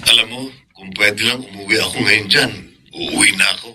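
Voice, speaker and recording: A man's voice: lowish voice, steady tone, brisk, pushed and bright, a little hiss or hum. A man playing an ardent, tender boyfriend in a Filipino radio drama, romantic and earnest. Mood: tender, longing. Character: ardent, tender boyfriend longing for his faraway sweetheart.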